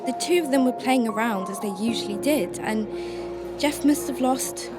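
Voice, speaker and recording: A woman playing a grieving mother searching for her missing husband in a radio drama, with a halting, emotional delivery. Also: on the high side, close to an everyday voice. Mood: tearful, distraught. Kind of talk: radio drama acting and first-person narration. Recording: music under the voice.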